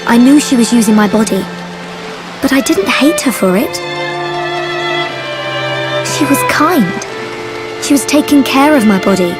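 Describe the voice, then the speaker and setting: Gentle high-voiced young heroine over strings. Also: female voice, lively intonation, a little slow, pauses often. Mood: earnest, pleading. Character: gentle, earnest young woman, kind and quietly resolute. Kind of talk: video game cutscene voice acting. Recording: loud orchestral string music behind. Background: violin-led game score.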